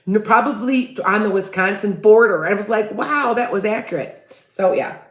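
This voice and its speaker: Host, female, Chicago accent, mid pitch, loose chatty restarts, echoey home room. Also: normal speed. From Midwest US. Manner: rambling, many restarts, asks viewers for tips. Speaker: psychic livestream host. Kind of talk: casual livestream chat.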